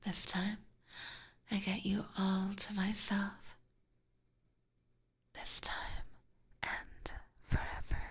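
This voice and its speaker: A woman's voice: medium pitch, completely flat delivery, very slow, pauses often, full character voice. A woman voicing an obsessive, seductive vampire catgirl in an ASMR roleplay, whispering intimately and teasingly into a close binaural microphone with sweet menace. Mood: possessive, obsessed. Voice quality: breathy.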